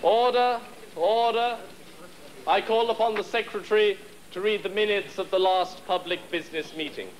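Young man, fairly high voice, formal, ceremonial, debating hall. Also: normal speed, a little hiss or hum. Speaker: debate society president chairing. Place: large wood-panelled debating hall.

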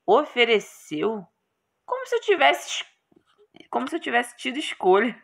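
A woman's voice: fairly high pitch, big pitch swings, unhurried, creaky, full character voice. A Brazilian woman voicing an indignant, complaining young male hero in a visual novel. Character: grumbling young male hero stuck in a tower.

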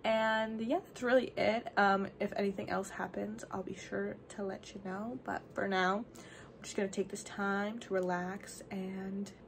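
Young woman, college vlogger, chatty filler-heavy delivery, phone held at arm's length, average pitch. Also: little pitch movement, measured pace, gravelly. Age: young adult. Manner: chatty, peppered with "like". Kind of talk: casual vlog chat to camera. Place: small dorm room.

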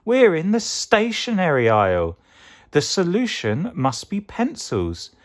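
A man voicing an excitable, dramatic male tomato in a children's storybook. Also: medium pitch, measured pace, slightly rough, voice pushed up, heavily acted. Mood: excited, urgent. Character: excitable male tomato, eager and easily upset.

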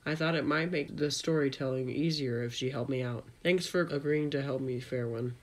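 A man's voice: mid-range voice, normal speed, natural voice. A man playing an enthusiastic middle-school tech-geek boy telling his story in an audio drama, casual and youthful. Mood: casual, eager.

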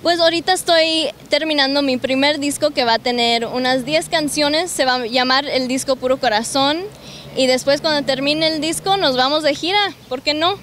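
Bubbly young woman with a high voice. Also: moderate pace, fairly bright tone, slight background noise. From Los Angeles, United States. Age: young adult. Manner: bubbly, eager, slips in English words. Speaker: singer. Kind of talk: interview answers. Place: outdoors in a city park. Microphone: handheld mic held by interviewer.

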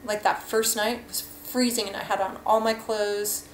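A woman in her thirties talks through her hiking gear in a frank, rambling way, with plenty of "um" and "like." Her voice stays flat and even in pitch. It is caught by a webcam in a bouncy home kitchen with a faint hum of ambience behind her. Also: unhurried. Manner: chatty, rambling, frank, many fillers. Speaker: hiking vlogger. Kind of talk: casual gear review. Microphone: webcam at desk. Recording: echoey room, faint ambience.

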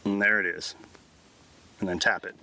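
A man's voice: sits low, steady tone, moderate pace, vocal fry, noisy background. A man in his thirties giving an informal, step-by-step tech tutorial as the host. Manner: informal, instructional.

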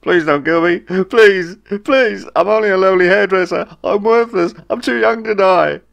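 A man's voice: sits high, moderate pace, gravelly, a bit bright, voice pushed up. A man voicing a cowardly male captive, whimpering and pleading for his life in a comic audiobook. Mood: terrified begging.

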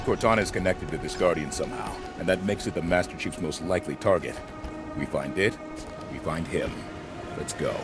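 A stern, methodical squad leader gives clipped orders in a very low, steady voice. A loud orchestral score swells behind him. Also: male voice, a little fast, over loud music. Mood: firm. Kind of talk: video game cutscene acting. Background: orchestral game score.

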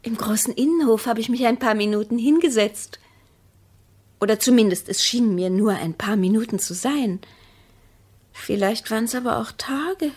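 An actress in a German radio play voicing a distrustful, needling wife, speaking in a measured, pointed way with hushed phrases. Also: female voice, fairly high pitch, breathy edge. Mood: hushed wonder.

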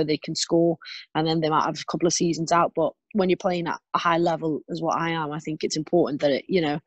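A woman's voice: low voice, breathy edge, no echo. Rambling young woman, dry close sound. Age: twenties. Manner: rambling, peppered with "you know" and "kind of". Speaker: interviewee, footballer. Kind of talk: casual chat. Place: remote video call.

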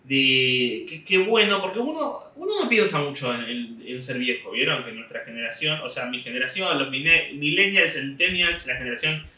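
A man's voice: mid-range voice, expressive, moderate pace, hardly any pauses, bright, forceful tone, reverberant, distant mic. A young man with an Argentine Spanish accent telling a rambling comic story in a casual monologue. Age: young adult. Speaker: comedian podcast host. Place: small home room indoors. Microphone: desk mic on stand, camera at distance.

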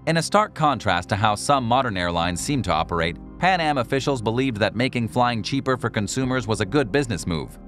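A man reading a scripted documentary narration in an even, measured way. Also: mid-range voice. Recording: voice-over with faint music.

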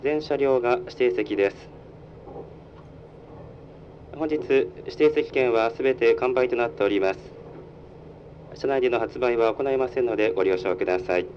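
A man's voice: moderate pace, pauses often. Muffled male train conductor over the PA. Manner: formal, polite, procedural. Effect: public address speaker. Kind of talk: onboard train announcement. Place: inside a moving train. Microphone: recorded from the carriage speaker. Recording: muffled train PA, rumbling vehicle noise. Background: train running noise.

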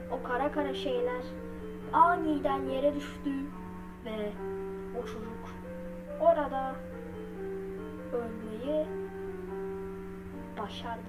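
A woman telling a story to viewers as unscripted commentary, in halting, broken phrases. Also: high-pitched, low-quality recording. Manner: halting, broken phrases with repeated filler words. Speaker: video creator narrating a horror theory. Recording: loud music under the voice. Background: loud music.

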